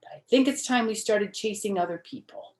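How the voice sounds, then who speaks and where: A woman's voice: medium pitch, fairly quick, a little mellow, voice pushed up, natural voice. A woman voicing a whiny, matter-of-fact young boy from a children's storybook. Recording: roomy, echoing home recording.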